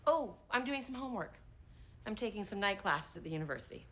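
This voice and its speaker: A woman's voice: medium pitch, expressive, fast talker, pushed and bright, slight background noise. A woman playing a polite, keen medical student and lab analyst, speaking precisely in a TV period drama. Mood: polite, composed. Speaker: actress in a TV detective series. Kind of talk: naturalistic TV period drama acting.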